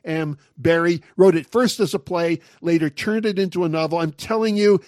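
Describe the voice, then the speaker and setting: A man's voice: fairly high pitch, moderate pace, darkish tone, no echo, clean studio sound. A man of sixty-nine hosting a talk commentary monologue, making wry, sarcastic jokes. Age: 60s. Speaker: commentary host.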